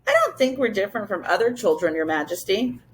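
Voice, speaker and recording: A woman's voice: mid-range voice, lively intonation, fast, close to an everyday voice, low-quality recording. Woman voicing polite, curious storybook children. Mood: polite, earnest. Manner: polite, respectful. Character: polite, curious human children visiting royalty. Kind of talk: children's storybook read-aloud.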